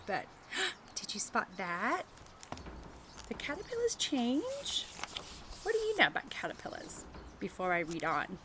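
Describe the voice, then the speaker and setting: A woman teacher doing an animated read-aloud of a picture book for young children, chatting and asking them questions as she goes. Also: medium pitch, background noise. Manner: engaging the children with questions and tag questions.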